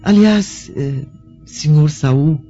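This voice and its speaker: A woman's voice: sits low, extremely slow, warm tone. An actress playing a polite, reserved Roman noble lady in a radio drama, formal and hesitant. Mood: polite, puzzled. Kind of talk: theatrical radio drama acting. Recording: muffled lo-fi radio drama with music.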